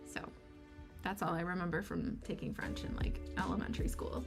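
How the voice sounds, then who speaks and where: A woman's voice: fairly low pitch, sing-song, brisk. A tired woman with a Michigan accent, chatting casually and rambling as she answers viewers during a livestream. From United States. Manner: rambling, chatty, self-deprecating, answers chat questions. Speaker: livestream host. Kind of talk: casual chat with viewers during a co-working stream. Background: lofi music.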